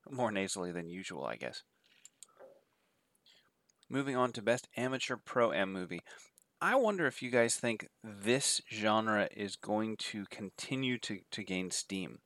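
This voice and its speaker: Man, nasal, has a cold, low voice, dry close sound, rambling casual review. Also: big pitch swings, unhurried, a bit bright, no room sound. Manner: rambling, filler-heavy listing of titles. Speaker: adult film reviewer.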